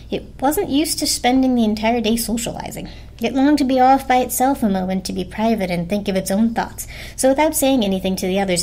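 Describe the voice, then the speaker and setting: Female storyteller, medium pitch, dramatic scripted narration, faint music underneath. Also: a little mellow.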